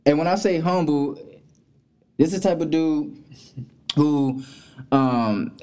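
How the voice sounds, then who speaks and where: A man hosting a podcast, from Florida, giving a hype, drawn-out intro and asking relaxed questions. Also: fairly high pitch. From Florida, USA. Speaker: podcast host. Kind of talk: casual interview.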